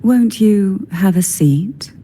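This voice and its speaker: A woman's voice: mid-range voice, animated, takes it slowly, dark tone, slight background noise. A woman voicing an elegant, enigmatic noblewoman in a video game, speaking in a formal, poised and languid manner. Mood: composed, courteous. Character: elegant, enigmatic noblewoman, head of a powerful family. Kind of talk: video game story dialogue.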